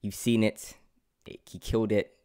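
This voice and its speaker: A man's voice: fairly low pitch, flat delivery, a little slow, dark tone. A young man with a London accent hosting a casual interview, effusive and repeating words for emphasis. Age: young adult. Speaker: podcast host, actor. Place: indoor room at home, video call. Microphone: large desk microphone up close.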